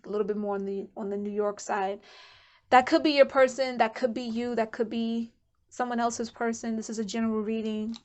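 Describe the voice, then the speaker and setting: A woman's voice: a little high, fairly even intonation, brisk, a little mellow. A woman giving a casual pick-a-card tarot reading to camera, chatty and candid, thinking aloud as she goes. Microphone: camera mic.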